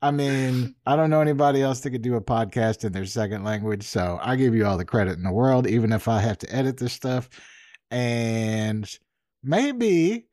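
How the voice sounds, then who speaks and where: A man co-hosts a podcast, riffing with jokes and exaggerated asides. His pitch jumps around a lot, and the sound is close and dry. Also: normal speed, dry recording. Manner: animated, joking, exaggerated asides. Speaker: podcast co-host. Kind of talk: casual podcast chat.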